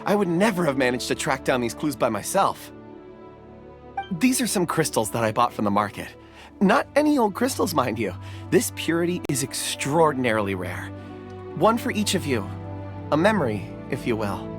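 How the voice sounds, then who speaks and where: A man playing a gentle, earnest young man in a video game, polite and soft-spoken. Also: medium pitch, sing-song, moderate pace, close to an everyday voice. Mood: pleased. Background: game music.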